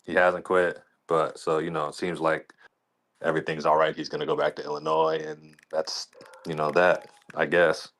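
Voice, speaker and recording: A man's voice: very deep voice, flat delivery, normal speed, fairly bright tone. A man talking casually as a league commissioner on a podcast, in a colloquial, rambling way peppered with "you know".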